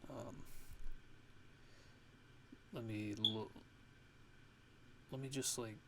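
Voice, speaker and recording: A man talks through a music production tutorial in a fairly low, gravelly voice. His delivery is halting and laid-back, like a casual ASMR walkthrough, and his intonation stays on the flat side. Clear background noise sits behind him on a home recording.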